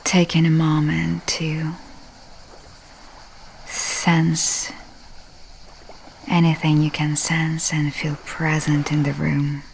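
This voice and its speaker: Casting: female meditation guide, low and very even, soft half-whispered soothing delivery, long pauses between phrases. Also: gravelly, a little hiss or hum, poor audio.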